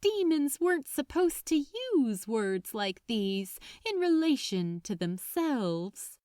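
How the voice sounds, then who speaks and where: Female fiction narrator, higher register, dramatic and slow-paced, very dry recording. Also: sing-song, a little mellow, smooth, dry recording. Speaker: audiobook narrator.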